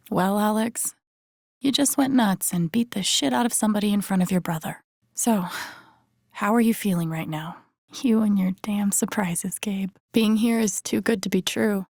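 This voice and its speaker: A woman's voice: medium pitch, darkish tone, no room sound, lightly acted. An actress with an American accent playing an introspective, wry young woman in a video game, murmuring dry asides to herself. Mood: self-reproachful. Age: young adult. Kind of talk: naturalistic game inner-monologue acting.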